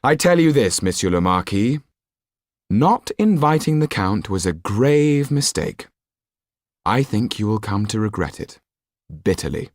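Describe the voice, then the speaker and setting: A man's voice: on the low side, big pitch swings, a little slow, no room sound, clean studio sound, pitched above the performer's own voice. A man voicing a desperate aristocratic Frenchwoman in an audiobook, pleading and warning with imploring emphasis. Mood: bitter warning.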